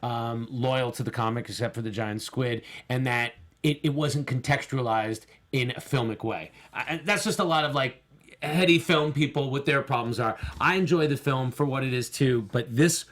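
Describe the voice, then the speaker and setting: A man's voice: mid-range voice, moderate pace, fairly bright tone. A middle-aged man co-hosting a casual panel podcast in a small studio, speaking enthusiastically into a close mic. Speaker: podcast co-host. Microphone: close studio mic with foam cover.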